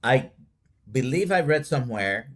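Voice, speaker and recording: A man from Puerto Rico hosting an interview, asking questions in a relaxed, conversational way over a video call. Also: mid-range voice, measured pace. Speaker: host doctor.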